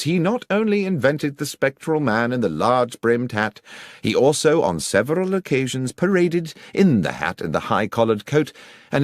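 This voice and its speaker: Confident male detective , acted by a male reader, audiobook mystery. Declamatory, precise, very lively pitch, dry close recording. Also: dry recording, close to an everyday voice. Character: confident, theatrical male detective explaining his deductions.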